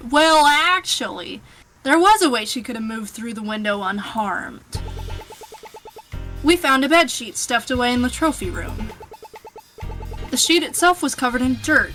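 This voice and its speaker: Woman as assertive game detective, high bright voice, explaining evidence, music underneath. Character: confident detective arguing a case in a game. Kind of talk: dramatized reading of game dialogue. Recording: music behind the voice.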